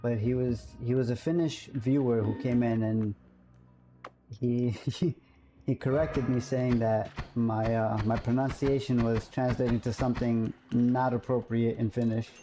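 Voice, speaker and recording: A man's voice: medium pitch, flat delivery, a little slow, over music. A man streaming a game live, chatting casually and musing aloud, often correcting himself. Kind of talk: casual live-stream chat while playing.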